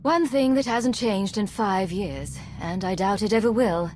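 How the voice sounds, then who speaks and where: A woman's voice: medium pitch, a little slow, a little mellow, a little hiss or hum, close to an everyday voice. A woman acting a resolute, pragmatic commander in a video game, guarded, terse and controlled. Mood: guarded, dry.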